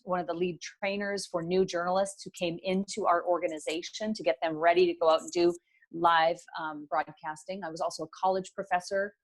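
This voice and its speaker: A woman's voice: lowish voice, rough recording. A middle-aged woman from Northern Ontario, with French as her first language, answering interview questions in the articulate, polished manner of a former broadcaster. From Northern Ontario, Canada. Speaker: guest, business owner.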